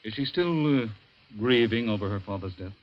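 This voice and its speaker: An actor playing a calm middle-aged family doctor in 1940s radio drama, measured, reassuring and gently probing. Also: male voice, fairly low pitch, lightly acted. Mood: courteous, measured. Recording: dull vintage radio recording.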